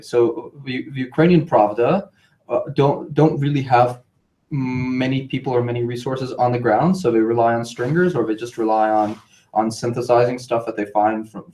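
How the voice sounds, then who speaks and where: A man from New York speaking informally on a panel, halting and digressive, often repeating his words as he goes. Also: mid-range voice, a little mellow, rough recording.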